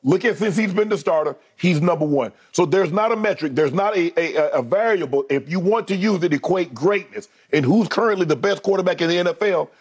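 A man's voice: high voice, a little fast, few pauses, slightly rough. A man in a sports debate, emphatically listing statistics to make his case and laughing often. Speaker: co-host.

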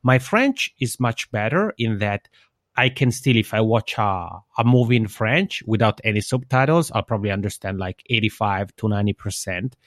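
A man's voice: fairly low pitch, lively intonation, normal speed, dry recording. Reflective male interview guest, native Italian speaker, dry sound. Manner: reflective, self-correcting, explanatory. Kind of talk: conversational interview answers.

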